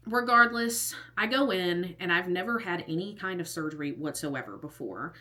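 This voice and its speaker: A woman's voice: on the low side, lively intonation, moderate pace, sharp tone. A woman in her thirties telling a personal story in a casual, rambling way, full of "like" and the odd whispered aside. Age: 30s. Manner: chatty and rambling, heavy use of "like", with whispered asides. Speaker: storytime vlogger.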